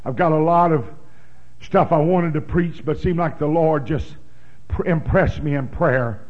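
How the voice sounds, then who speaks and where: A man preaching a sermon, reading scripture in a big booming voice and breaking into fervent shouts. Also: measured pace, dull audio. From Oklahoma. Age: 60s. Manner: fervent, emphatic pulpit delivery with sudden shouts.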